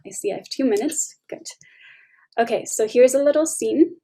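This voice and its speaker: A woman's voice: a little high, mellow, poor audio. A woman presenting her project over a video call, talking informally and hurrying to fit her time. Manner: hurried, informal asides. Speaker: student conference presenter. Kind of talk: casual presentation. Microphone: video call microphone.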